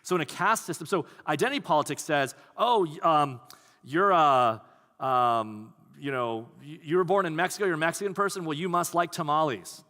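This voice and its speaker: A man preaching a sermon as pastor, talking in a conversational, animated way. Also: a little high, moderate pace. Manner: conversational, animated, acts out quoted voices. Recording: long hall reverb.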